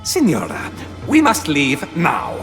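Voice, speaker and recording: Game role: mysterious, mannered male trickster, slow and highly animated, formal, faintly mocking, gravelly. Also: music in the background, exaggerated voice. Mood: urgent. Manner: theatrical, formal, teasing. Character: sly, courteous, mysterious male trickster guide. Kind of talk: video game dramatic acting.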